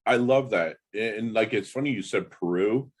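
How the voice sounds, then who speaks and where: A man's voice: fairly low pitch, moderate pace. A man in his fifties hosting a podcast interview, chatting enthusiastically and rambling with lots of fillers. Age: 50s. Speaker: podcast host. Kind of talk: casual interview chat.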